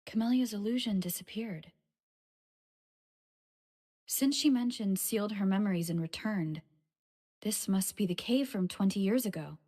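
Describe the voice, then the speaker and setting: A woman playing an earnest, thoughtful young female adventurer in a video game, musing to herself in a hushed, breathy voice. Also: medium pitch, normal speed, long pauses, natural voice. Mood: pensive.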